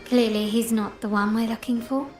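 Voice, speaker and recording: A woman's voice: fairly high pitch, steady tone, normal speed, a little mellow, close to an everyday voice. An actress playing a poised, shrewd young noblewoman investigating in a video game, composed and formal. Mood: cool probing. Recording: roomy sound with light music.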